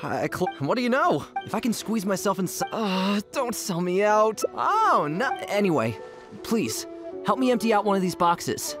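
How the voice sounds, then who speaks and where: Flustered, animated young boy. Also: medium pitch, normal speed. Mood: flustered, pleading. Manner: flustered, stammering. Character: timid, boastful young boy, a small gang hanger-on. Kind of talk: video game voice acting. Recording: clear music behind the voice.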